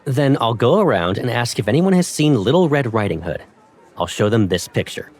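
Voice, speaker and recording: A man's voice: medium pitch, sing-song, moderate pace, a little mellow, a little hiss or hum, lightly acted. An actor playing a playful, caring young man who teases and cajoles, in a fan dub of a romance game. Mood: teasing. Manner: teasing, lightly cajoling.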